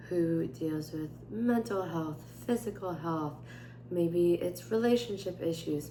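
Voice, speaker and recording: A young woman with a raspy, tired voice, chatting casually to her viewers and then reading her journal entry aloud. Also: on the low side, roomy sound, a little hiss or hum, rough recording. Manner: chatty with frequent fillers, gentle and candid. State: tired, voice strained. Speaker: vlogger. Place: indoors at home, at a table. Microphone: camera on tripod.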